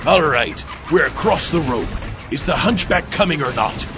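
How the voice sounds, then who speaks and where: An actor playing a gruff, ruthless pirate captain with a gravelly, commanding voice in a comedic audio drama. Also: male voice, on the high side, animated, moderate pace, music in the background. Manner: blustering, commanding. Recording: dull, noisy lo-fi audio drama mix.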